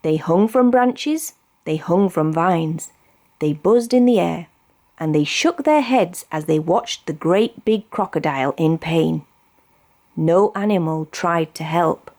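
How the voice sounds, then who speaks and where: A woman with a British accent narrating a children's storybook expressively, with deliberate phrasing. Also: fairly low pitch, expressive, darkish tone. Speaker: storybook reader.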